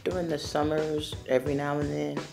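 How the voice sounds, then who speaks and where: A woman's voice: deep voice, level tone, a little fast, gravelly. A woman from Los Angeles speaks casually and wryly about her childhood, her emphasis rising and falling. She is a spoken word poet interviewed for a documentary. Faint music plays beneath her.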